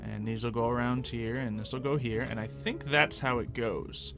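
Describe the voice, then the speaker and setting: A man's voice: medium pitch, fairly even intonation, normal speed, creaky, music in the background. A man giving casual, chatty gameplay commentary as a let's-player, thinking aloud as he goes. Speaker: video game let's-player.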